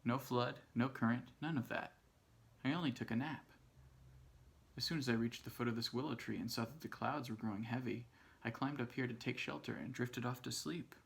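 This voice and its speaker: A calm, unconcerned storybook ant, acted out by a man reader. He has a gravelly edge and an ordinary mid-range pitch, and he answers everything in a nonchalant, matter-of-fact way. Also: fairly quick. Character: calm, unconcerned male ant waking from a nap, proudly unflappable.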